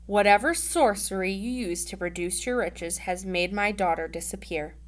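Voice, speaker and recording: A woman's voice: medium pitch, moderate pace, natural voice. Woman voicing a stern, threatening storybook Sultan. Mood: angry, threatening. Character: raging old Sultan, stern threatening father.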